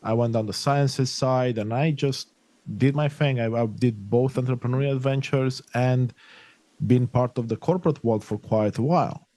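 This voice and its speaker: A man's voice: mid-range voice, normal speed, dark tone, dry recording. A middle-aged man from Romania, a podcast guest talking earnestly and reflectively in long flowing sentences. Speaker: podcast guest, life coach. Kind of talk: conversational interview.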